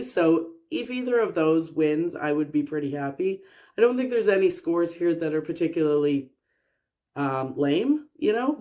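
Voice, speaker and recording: Woman vlogger, voice froggy and hoarse from a cold, chatty and opinionated to camera. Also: low-pitched, darkish tone. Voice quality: froggy, rough voice. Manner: chatty and opinionated, listing picks. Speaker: film review vlogger. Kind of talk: casual chat to camera.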